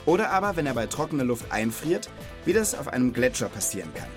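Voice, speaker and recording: A man's voice: medium pitch, sing-song, fast talker, soft background music. A man hosting a kids' knowledge podcast, explaining things in an informal, curious way and checking back with questions. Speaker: host of a children's knowledge podcast. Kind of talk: casual conversational explaining to a child.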